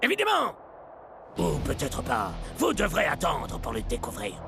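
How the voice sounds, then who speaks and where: A man's voice: fairly high pitch, wildly swooping pitch, moderate pace, gravelly, over loud music, exaggerated voice. A man voicing a showboating cartoon football commentator who puts on a grandiose reality-TV narrator style. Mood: melodramatic. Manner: grandiose, melodramatic. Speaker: sports commentator.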